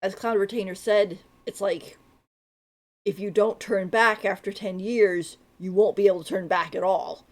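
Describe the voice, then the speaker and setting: A woman's voice: medium pitch, steady tone, moderate pace. A woman streaming a game, giving casual live commentary and thinking aloud in a rambling way. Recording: faint game music behind.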